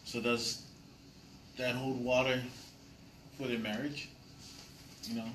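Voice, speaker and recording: A man's voice: medium pitch, flat delivery, slow, a bit bright, slight background noise. Man, rambling audience question, distant, roomy echo. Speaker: audience member. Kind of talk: asking a question. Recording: echoey room from far off.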